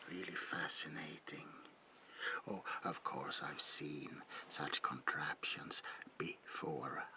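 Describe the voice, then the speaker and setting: A very low, gravelly whisper from an eerie old vampire, acted out by a man in an ASMR roleplay. He speaks slowly and with old-fashioned courtesy, a lonely recluse whose politeness carries menace. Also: heavily acted. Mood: curious, musing. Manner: slow, murmured, old-fashioned phrasing, lingering repetitions.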